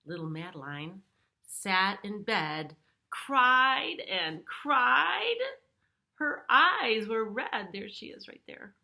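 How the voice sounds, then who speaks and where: Slow, bright-voiced woman reading a picture book. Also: medium pitch, big pitch swings, gravelly. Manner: simple explanations for young children. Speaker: preschool storyteller reading aloud. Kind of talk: picture-book read-aloud with explanatory asides.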